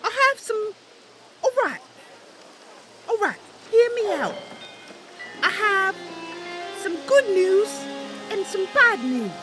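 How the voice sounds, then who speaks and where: Woman voicing a very high, perky little elf knight. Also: very animated, takes it slowly, pauses often, over music, exaggerated voice, rough recording. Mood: playful, teasing. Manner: chirpy, encouraging, teasing. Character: perky little female wood elf knight, kind and playful. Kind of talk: improvised in-character fantasy game roleplay.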